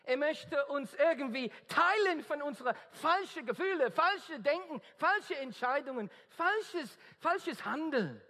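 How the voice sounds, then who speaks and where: A man's voice: extremely high pitch, lively intonation, measured pace, few pauses, pushed and bright. A man with an Australian accent preaching an earnest, emphatic sermon in German, often searching for his words. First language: English. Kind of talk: evangelistic sermon. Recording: long reverb of a hall.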